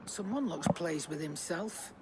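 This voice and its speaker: A woman's voice: low-pitched, lively intonation, measured pace, very noisy. An actress playing a dry, teasing woman who speaks wryly. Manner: wry, teasing.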